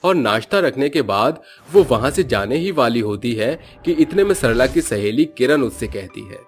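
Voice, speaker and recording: A man's voice: mid-range voice, lively intonation, moderate pace, breathy edge, faint music behind. A man giving scripted narration for a horror cartoon story.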